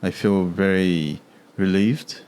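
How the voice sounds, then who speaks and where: A man, a guest on a podcast, speaking softly in short replies. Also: deep voice, fairly even intonation, takes it slowly, clear, resonant tone. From Korea. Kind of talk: casual interview chat.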